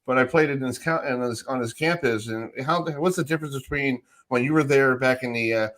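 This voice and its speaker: A man's voice: medium pitch, brisk, a bit bright. A middle-aged man hosting a sports radio show, asking informal, rambling questions in a casual chat.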